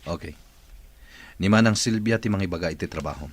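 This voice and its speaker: An actor playing an irritable, suspicious boss who uses a wheelchair in an audio drama, speaking in a curt, interrogating way. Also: male voice, low voice, a little fast.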